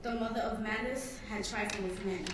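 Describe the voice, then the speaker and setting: A woman's voice: medium pitch, a little fast. A teenage girl narrating a literary work in a dramatic student reading. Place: public reading in a hall. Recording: very echoey hall, distant mic, faint noise.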